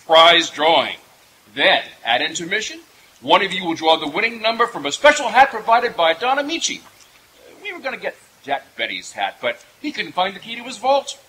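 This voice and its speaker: A middle-aged man works as stage host with old-time radio patter. His voice is high for a man, bright and animated, recorded live outdoors with faint noise. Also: low-quality recording. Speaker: stage host warming up audience.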